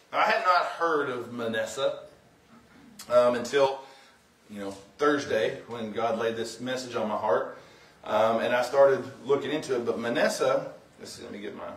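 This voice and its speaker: A middle-aged man giving an informal, somewhat halting sermon as a guest preacher, mixing plain talk with scripture reading. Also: mid-range voice. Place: church sanctuary, indoors. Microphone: far camera. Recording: roomy, distant from the microphone.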